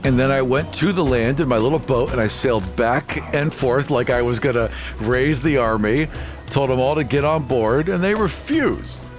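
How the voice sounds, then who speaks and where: Proud, wronged merchant captain played by a man, mid-pitched, earnest and dramatic, tabletop roleplay over music. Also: normal speed, natural voice. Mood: vengeful. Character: aggrieved, proud merchant ship captain bent on revenge.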